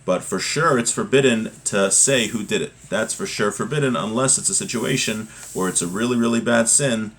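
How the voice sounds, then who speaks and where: A man's voice: lowish voice, normal speed, vocal fry, few pauses, fairly bright tone. A man giving an informal religious class, reading from a text and explaining it point by point, often rephrasing and repeating. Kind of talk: informal text lesson, reading aloud then translating and explaining.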